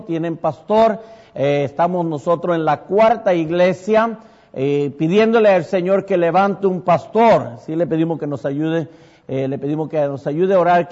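Middle-aged Puerto Rican pastor, Mexican-tinged accent, slightly high voice, rambling testimony with fillers, muffled sound with long church reverb. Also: male voice, a little mellow. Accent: Mexican-tinged Puerto Rican Spanish. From Puerto Rico. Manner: rambling, many fillers and self-corrections. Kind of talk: testimony to a congregation. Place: church sanctuary.

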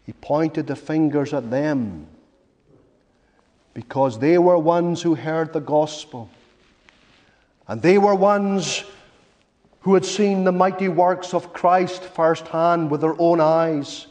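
A man preaching a sermon in an emphatic, rhetorical way, with repeated phrases and dramatic pauses. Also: on the high side, darkish tone. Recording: long reverberant hall echo.

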